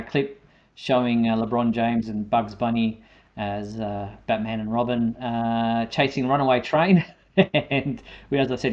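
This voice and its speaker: A man presents entertainment news as a show host. His voice is medium-pitched, and he talks conversationally with a halting delivery. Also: a little mellow, dull audio. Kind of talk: semi-scripted fan news presenting.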